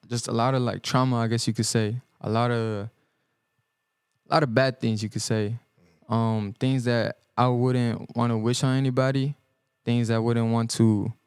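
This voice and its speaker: A young man born in El Salvador and raised in the US Midwest, a guest musician giving earnest, halting answers on a podcast, full of ums and restarts. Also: mid-range voice, little pitch movement, clear, resonant tone, dry recording, studio quality. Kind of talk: reflective podcast interview answers. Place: podcast studio.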